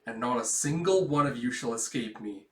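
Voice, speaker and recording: A man's voice: mid-range voice, lively intonation, moderate pace, a bit bright, natural voice. An imperious old schoolmistress, acted out by a man, rules a comic school story with severe, formal menace. She speaks pompously and savors every punishment. The room rings with noticeable echo. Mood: stern, haughty. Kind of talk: animated retelling of a comic story. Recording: echoey room.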